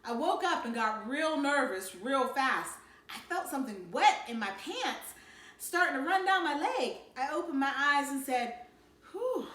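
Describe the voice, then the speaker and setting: A woman reading a children's novel aloud in an expressive storytelling style. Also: a little high, reverberant. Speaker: storybook reader.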